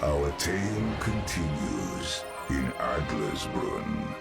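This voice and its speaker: A man narrating a dark fairy tale in a slow, dramatic storytelling manner. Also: a bass voice, sing-song. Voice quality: gravelly. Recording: game cutscene with loud music.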